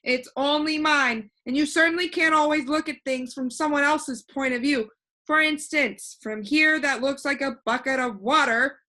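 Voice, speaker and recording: Cheerful storybook boy, played by a woman, proud and lecturing; high-pitched, crisp and bright. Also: moderate pace. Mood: breezy, instructive. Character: cheerful, know-it-all young boy who floats in air. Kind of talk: animated children's storybook reading.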